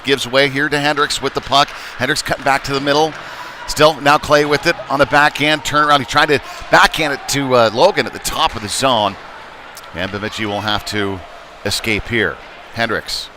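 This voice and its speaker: Male play-by-play announcer for youth hockey, folksy and conversational with halting delivery, recorded amid noticeable arena noise. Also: medium pitch, pushed and bright. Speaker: play-by-play commentator. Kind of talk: live youth hockey commentary. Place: indoor ice rink during a game. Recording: noisy arena, clear rink ambience behind.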